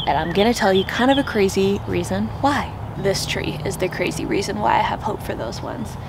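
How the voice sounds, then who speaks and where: A woman's voice: mid-range voice, animated, brisk, creaky, noisy background. An American woman in her thirties chatting expressively and informally to camera as she gives a casual vlog tour outdoors. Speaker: gardening vlogger. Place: outdoors in a suburban backyard.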